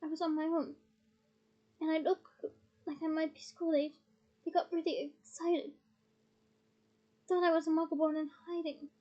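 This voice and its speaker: A woman's voice: very high voice, little pitch movement, normal speed, pauses often, warm tone, muffled recording. A woman voicing an agitated, defensive teenage boy wizard who protests and explains himself in a story reading. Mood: explaining.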